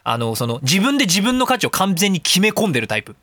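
A male radio host with a high, bright voice that swings widely in pitch. He talks in a casual, opinionated ramble, theatrical and self-mocking. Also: big pitch swings, fast, dry recording, studio quality. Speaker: professional speaker and audio engineer.

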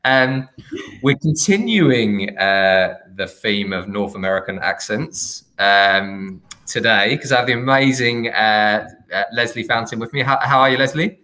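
A man with a fairly low voice hosts a relaxed interview podcast. His speech is loose and hesitant, full of "um"s and restarts. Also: unhurried. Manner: hesitant, many fillers and restarts. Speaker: podcast host. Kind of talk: casual interview chat.

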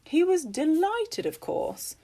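A woman's voice: very high voice, big pitch swings, takes it slowly, mellow, pitched above the performer's own voice, heavily acted. A woman voicing a theatrical female guide who tells tales of hauntings with emphatic relish.

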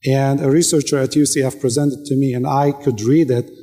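A man's voice: medium pitch, fairly even intonation, brisk, warm tone. A man whose first language is Arabic, debating live, rebutting firmly with short pointed questions. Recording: reverberant hall.

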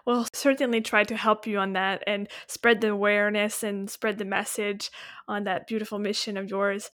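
A woman's voice: medium pitch, flat delivery, moderate pace, a bit bright. A woman hosting a podcast interview, giving affirming, encouraging replies. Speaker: podcast host.

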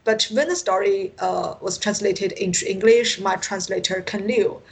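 A woman's voice: medium pitch, fairly even intonation. Chinese woman on a skype interview, mandarin accent, stop-start, keeps repeating bits while hunting for the word. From China. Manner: halting, searching for words, repeats herself. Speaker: science fiction author, interview guest. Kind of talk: conversational interview answers. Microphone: Skype call. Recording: recorded over an internet call.

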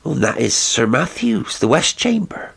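A man's voice: mid-range voice, sing-song, a little slow, creaky, a bit bright, breathy edge, voice pushed up, exaggerated voice. A man voicing an anxious elderly housekeeper who pleads with her master in a fretful way. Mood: pleading.

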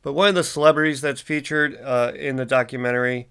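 A man's voice: medium pitch, fairly even intonation, fast talker, fairly bright tone, clean tone. A man in his forties hosting a solo podcast, sounding congested and stuffy, rambling casually with lots of ums and uhs. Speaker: podcast host.

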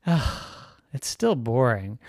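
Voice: mid-range voice, slow, no echo. A storyteller voicing an adventurous young girl explorer who jumps from boredom to thrilled squeals. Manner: squealing, breathless excitement.

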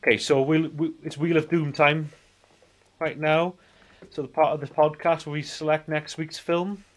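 A man in his forties with a slightly higher voice than most. He co-hosts a film podcast and talks in a casual, rambling way, circling around his point. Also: level tone, normal speed. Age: 40s. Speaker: podcast co-host. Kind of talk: casual film-review banter.

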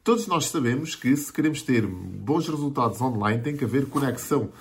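A man's voice: mid-range voice, lively intonation, a little fast. A man in his forties with a European Portuguese accent, a marketing mentor giving an earnest motivational talk to camera and building his points through repeated lists. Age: 40s.

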